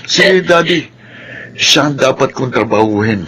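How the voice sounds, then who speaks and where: A man's voice: mid-range voice, animated, unhurried, a bit bright, a little hiss or hum. A man playing a treacherous young son, smug and conspiratorial, in a Filipino radio drama. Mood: smug, scheming.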